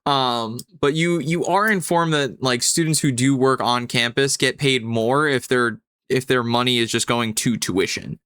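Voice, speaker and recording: A man's voice: medium pitch, a little slow, hardly any pauses, fairly bright tone, smooth, dry recording, clean studio sound. A man running a tabletop game as game master, improvising rulings in an explanatory way with some searching for words.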